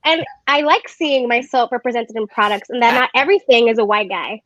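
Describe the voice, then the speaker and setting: High-voiced woman on a dull line. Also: a little fast, fairly bright tone, no echo. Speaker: guest, musician. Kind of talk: casual roundtable chat. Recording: dry, dull lo-fi call audio.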